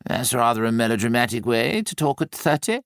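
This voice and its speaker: A man's voice: mid-range voice, sing-song, brisk, dry recording, clean studio sound. A man voicing an older upper-class Englishman in an audiobook, clipped, condescending and socially pushy. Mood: brisk, needling. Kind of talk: audiobook character dialogue.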